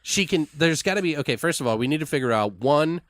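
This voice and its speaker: Casual, slangy man brainstorming out loud. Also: on the high side, expressive, fast, no echo. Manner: informal, slangy, profane. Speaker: podcast co-host. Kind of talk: casual improvised movie brainstorming.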